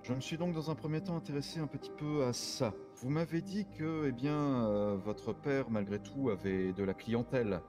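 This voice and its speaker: A man playing a courteous private detective in online roleplay, explaining things formally and deliberately, with halting pauses. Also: medium pitch, close to an everyday voice. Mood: methodical. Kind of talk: improvised French online roleplay. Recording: background music under the voice.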